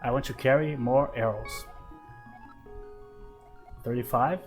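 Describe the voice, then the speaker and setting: A man in his thirties from Brazil, speaking English with a Brazilian Portuguese accent, talks through a retro game in an easygoing way. He thinks aloud with lots of little fillers, at an ordinary middle pitch, with soft music playing faintly underneath. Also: fairly even intonation, slow. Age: 30s. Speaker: gaming channel host. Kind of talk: casual let's play commentary.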